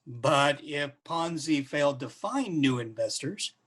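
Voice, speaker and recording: An actor playing an informal, energetic event planner and old pal in a comedy radio play. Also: male voice, fairly high pitch, unhurried. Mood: breezy, wry. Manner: casual, energetic.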